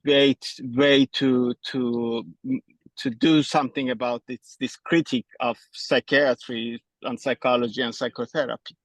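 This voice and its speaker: A man from Iran asking a question during a webinar, speaking haltingly with many restarts while out walking on his phone. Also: mid-range voice, steady tone, fairly bright tone, dry recording. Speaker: audience member.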